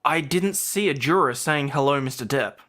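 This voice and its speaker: A man's voice: medium pitch, normal speed, bright, forceful tone. A man on a panel reading an attorney's lines from a courtroom transcript aloud.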